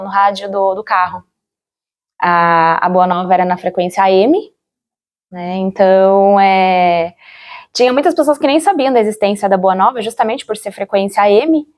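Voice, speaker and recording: A woman's voice: mid-range voice, normal speed, a little mellow. Reflective Brazilian woman recalling in an interview. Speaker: interviewee, former radio drama actor. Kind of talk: interview, personal recollection.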